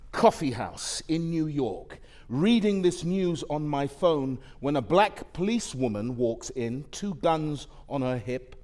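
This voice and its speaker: A man giving a dramatic poetry recital on stage, measured and weighty, with deliberate pauses. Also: a little high. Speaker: actor reading poetry on stage. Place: theatre stage.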